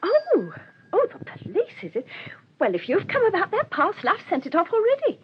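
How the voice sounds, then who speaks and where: High-voiced, very animated shopkeeper. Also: female voice, normal speed, exaggerated voice. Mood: helpful, curious. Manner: colloquial, animated. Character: chatty, helpful working-class female sweet-shop keeper. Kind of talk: radio crime drama acting. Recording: dull recording with faint noise.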